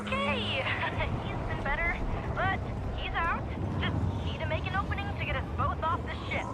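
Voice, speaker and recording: A woman's voice: extremely high pitch, animated, normal speed, over a noisy crowd, heavy background noise. A young woman voicing a bubbly, giggly young female vigilante who leads a heist in a video game cutscene and talks in casual slang. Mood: upbeat. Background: loud game score music.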